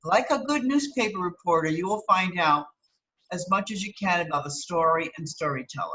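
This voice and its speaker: Bright-voiced woman teacher reading aloud. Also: on the low side, lively intonation, a little fast, low-quality recording. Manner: instructive, checks understanding with tags like okay and right. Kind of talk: educational read-aloud with explanatory asides.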